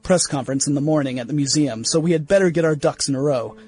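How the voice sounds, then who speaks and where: An actor playing an irritable, self-important 1910s museum scientist, delivering a brisk, opinionated diary monologue in a radio play. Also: male voice, a little high, a little mellow, natural voice. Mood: self-important, skeptical. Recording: music bed under the voice.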